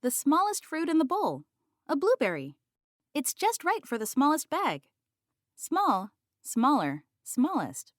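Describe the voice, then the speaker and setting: A sensible, bossy big sister mouse, acted by a woman from a picture book. She is high and very animated, with a matter-of-fact, chiding way of talking. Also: measured pace, no room sound, studio quality, exaggerated voice. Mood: helpful. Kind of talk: children's picture-book read-aloud.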